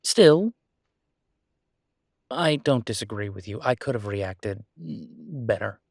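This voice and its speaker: Man acting as a gently hesitant, devoted boyfriend, close-up. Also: fairly low pitch, expressive, slow, gravelly, no room sound, full character voice. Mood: candid, teasing. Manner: hesitant, casual, soothing, with soft chuckles. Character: devoted human boyfriend of a werewolf, gently reassuring. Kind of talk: roleplay audio acting, intimate one-sided conversation.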